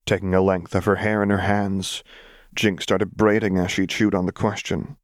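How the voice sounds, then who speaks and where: A man with a fairly low voice narrates fiction in an expressive, scripted audiobook style. The recording is very dry, with no room sound. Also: moderate pace, no echo.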